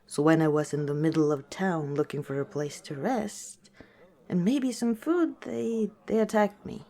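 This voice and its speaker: Female orc tavern owner, played by a woman: lower register, slow delivery, gentle and hesitant, intimate ASMR storytelling. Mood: resigned, gently amused.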